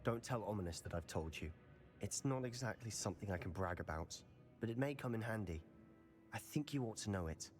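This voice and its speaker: An actor playing a confident young male wizard student who calmly persuades a friend to learn a forbidden spell. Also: fairly low pitch, a little fast, natural voice. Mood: persuasive. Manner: calm, persuasive, conspiratorial. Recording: game voice over loud music. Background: game music.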